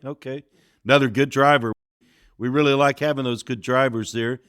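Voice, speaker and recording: A man's voice: medium pitch, normal speed, clear, resonant tone, no room sound, studio quality. Affable male podcast host. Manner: affable, steady, with filler "uh"s. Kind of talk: interview podcast hosting.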